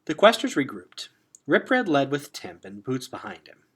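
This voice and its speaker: A man reading a children's adventure novel aloud like a teacher, expressive and dropping into hushed tones for suspense. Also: medium pitch, moderate pace, breathy edge. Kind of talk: dramatic read-aloud narration of a children's novel.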